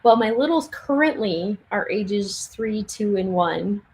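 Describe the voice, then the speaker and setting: A young woman chatting casually on a livestream from her home craft room, laughing often and restarting sentences, her voice getting hoarse. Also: mid-range voice, lively intonation, a little slow, a little hiss or hum. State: losing her voice. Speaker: livestream speaker.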